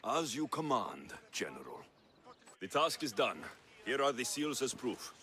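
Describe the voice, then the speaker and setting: An actor playing a stoic Greek mercenary warrior in a video game, terse and dryly loyal. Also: male voice, medium pitch, very animated, unhurried, bright, forceful tone. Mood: dutiful. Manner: dry, terse. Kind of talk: scripted video game acting. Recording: music behind the voice.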